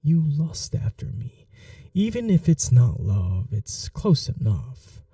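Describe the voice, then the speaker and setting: A man voicing an obsessive, grieving male vampire in an audio roleplay, whispering softly and swinging between menace and desperate pleading. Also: fairly high pitch, big pitch swings, slow pace, no room sound, studio quality, far from the mic, exaggerated voice. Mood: possessive. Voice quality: soft whispering, intimate.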